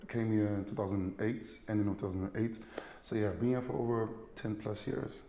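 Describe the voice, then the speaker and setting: A man's voice: fairly low pitch, fairly even intonation, fairly quick, warm tone, slight background noise. Easygoing man from Nigeria. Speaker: guest, public health practitioner. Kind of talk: casual group conversation. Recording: echoey room with faint ambience.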